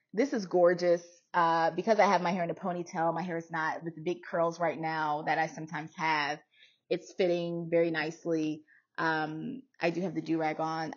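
A woman's voice: deep voice, slightly rough, highs cut off. A middle-aged American woman talking casually to camera in an informal, emphatic way, repeating phrases as she shows items. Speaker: vlogger showing a hat collection. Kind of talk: casual chatty product try-on. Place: small room indoors at home.

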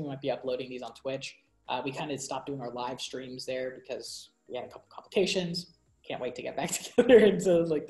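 A young man co-hosting a book review over a video call, talking casually with many fillers and restarts. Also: on the high side. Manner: animated hand gestures. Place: bright room at home. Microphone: video call laptop. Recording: roomy echo.

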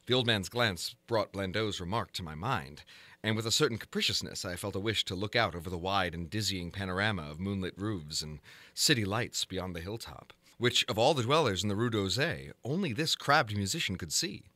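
Guy doing a dramatic audiobook read of old horror, lowish voice, really animated, close dry sound. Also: no echo. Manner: formal, measured, theatrical delivery. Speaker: narrator reading a story. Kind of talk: dramatic audiobook reading of gothic horror fiction.

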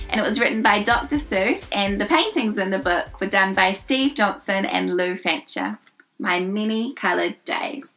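A woman in her twenties hosting a children's storytime, reading a picture book aloud in an expressive, deliberate way for young listeners. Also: medium pitch. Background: music.

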